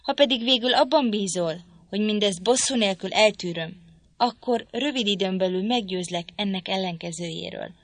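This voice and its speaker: A woman's voice: mid-range voice, dry recording, lightly acted. A woman reading the part of a bitter, vengeful young man, talking coldly and threateningly. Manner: cold, threatening.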